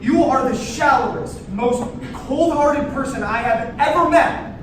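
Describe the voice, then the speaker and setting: Guy acting a wounded sarcastic young birthday dude, high voice, super echoey room. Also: unhurried. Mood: indignant. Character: exasperated 25-year-old birthday man, wounded and sarcastic. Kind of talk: staged reading of a comedy play. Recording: echoey distant room recording, hissy noise.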